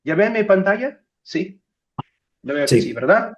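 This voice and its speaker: Brazilian guy, portuguese native, halting academic spanish on a muffled video call, kinda high voice. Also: big pitch swings. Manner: hesitant, halting, checking with listeners. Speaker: guest speaker. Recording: dull video call audio.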